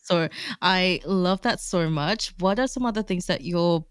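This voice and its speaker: A woman's voice: on the low side, unhurried, clean tone, no room sound, studio quality. A woman hosting an interview podcast, reading a scripted intro and asking questions in an upbeat, encouraging way. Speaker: podcast host.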